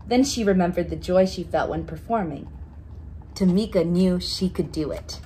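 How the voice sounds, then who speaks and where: A woman's voice: on the low side, moderate pace, warm tone. A woman reading a children's picture book aloud expressively, drawing out key words for emphasis. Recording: noticeable background noise.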